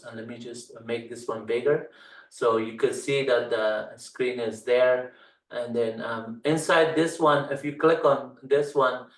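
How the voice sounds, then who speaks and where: A middle-aged man from Afghanistan, a native Dari speaker, walks through his screen as an instructor, pausing often on fillers. He sits back from the mic, so his voice sounds distant. The room adds a noticeable echo. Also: mid-range voice. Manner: halting, many fillers. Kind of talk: screen-share walkthrough tutorial.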